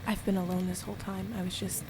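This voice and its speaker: A woman acting in a radio play as a captive young goddess, weary, desperate and quietly defiant, with halting emotional delivery. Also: mid-range voice, level tone, background noise, natural voice. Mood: coaxing.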